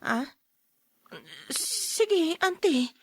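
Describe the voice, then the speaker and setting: High-voiced young Ilocano niece Jenny. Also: female voice, animated, extremely slow, a little hiss or hum, exaggerated voice. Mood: concerned. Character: younger niece Jenny, helpful companion to her aunt. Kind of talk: radio drama acting.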